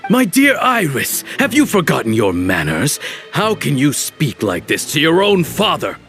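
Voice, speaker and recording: Slow, haughty villainous baron. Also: male voice, on the high side, wildly swooping pitch, a bit bright, faint music behind, heavily acted. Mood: scornful. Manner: haughty, theatrical, taunting. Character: villainous scornful baron, father of two daughters. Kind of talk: dramatic game cutscene acting.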